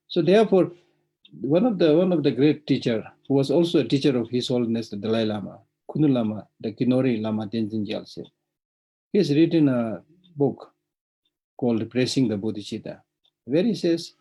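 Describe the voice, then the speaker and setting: A man's voice: medium pitch, lively intonation, fairly quick, breathy edge, low-quality recording. A middle-aged man with a Tibetan accent giving a Buddhist dharma teaching, stressing points by repeating them and laughing between them. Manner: emphatic, repetitive, laughs warmly between points. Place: remote virtual teaching.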